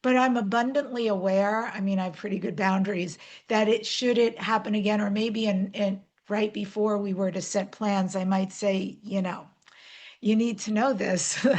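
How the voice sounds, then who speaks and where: Expressive woman hosting a conversational interview. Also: mid-range voice. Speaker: interview host. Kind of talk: conversational interviewing with personal anecdotes.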